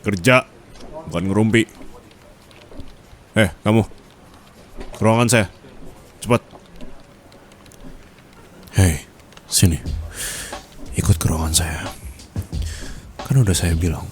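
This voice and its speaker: A man playing a stern, possessive, seductive male office boss in an ASMR roleplay, commanding, deliberate and teasing. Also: sits low, a little hiss or hum, pitched below the performer's own voice.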